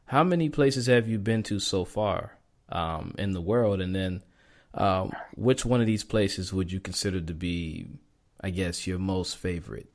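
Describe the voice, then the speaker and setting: A podcast host asking friendly questions in a casual interview, upbeat and quick to laugh. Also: sits low. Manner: upbeat, laughs often, encouraging.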